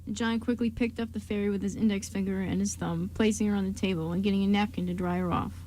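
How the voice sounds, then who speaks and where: A woman's voice: mid-range voice, steady tone, quick pace, dark tone, slight background noise, low-quality recording. A woman reading scripted story narration as the narrator of a radio play.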